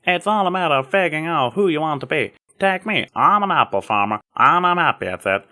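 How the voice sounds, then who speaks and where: A man's voice: fairly high pitch, expressive, a little fast, pushed and bright, voice pushed up. A man voicing an earnest, protective farm-girl pony with folksy, plain-spoken country phrasing, in a story reading. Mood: thoughtful, reassuring.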